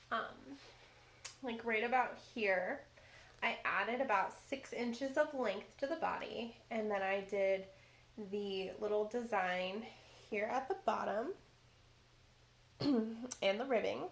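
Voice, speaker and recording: A woman hosting a knitting podcast, chatting casually as she shows her projects. She is stuffy from a cold and talks in a relaxed way with frequent ums. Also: medium pitch. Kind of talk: casual show-and-tell chat. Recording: echoey room with faint background noise.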